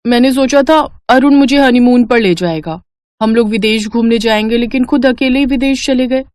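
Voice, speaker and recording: A woman's voice: fairly high pitch, normal speed, darkish tone, no echo, clean studio sound, close to an everyday voice. A woman voicing a flustered young bride. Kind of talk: cartoon dubbing.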